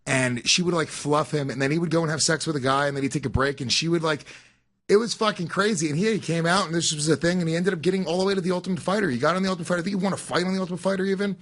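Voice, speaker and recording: A man co-hosts a podcast, making crude jokes in casual chat. He talks very fast with a slightly high voice. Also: fairly bright tone. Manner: crude joking, fast talker. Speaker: podcast co-host.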